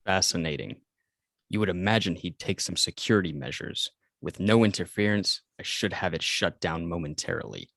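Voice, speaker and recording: A man voicing a calm alien robot companion in a formal, deadpan manner at an amateur table read. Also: sits low, moderate pace, vocal fry, no room sound, studio quality, exaggerated voice. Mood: detached, analytical.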